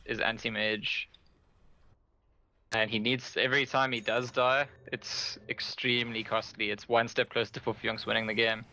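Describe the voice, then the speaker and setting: A man's voice: mid-range voice, pushed and bright, low-quality recording. A man with a South African accent giving thoughtful, explanatory color commentary on an esports match. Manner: thoughtful, explaining, laughs lightly.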